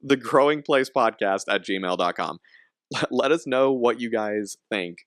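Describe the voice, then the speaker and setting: A man's voice: medium pitch, expressive, a little slow, a bit bright, dry recording, clean studio sound. A young man hosting a casual podcast, rambling with frequent laughs and restarts. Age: young adult. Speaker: podcast host.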